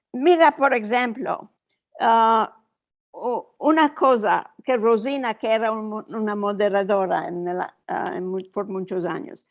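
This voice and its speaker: An older woman speaking Ladino as a guest, reading written messages aloud in a storytelling manner. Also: on the high side. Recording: dull lo-fi sound.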